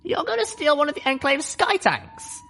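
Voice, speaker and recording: Littlepip, a tiny squeaky unicorn mare, as a man puts her on. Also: very animated, creaky, pushed and bright, full character voice. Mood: sly. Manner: high, squeaky, hurried. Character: small young female unicorn, anxious and urgent. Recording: music playing underneath.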